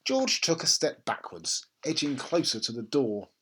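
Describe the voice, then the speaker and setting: A reader narrating a children's story in an expressive, dramatic and suspenseful style. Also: medium pitch, unhurried, husky.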